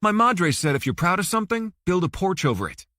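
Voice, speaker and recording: A man's voice: sits high, sing-song, a little fast, bright, forceful tone, dry recording, clean studio sound, pitched above the performer's own voice, full character voice. A man voicing a jovial, genial older man in an audiobook. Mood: hearty, genial. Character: Sarge, jovial big-bellied older Cuban man.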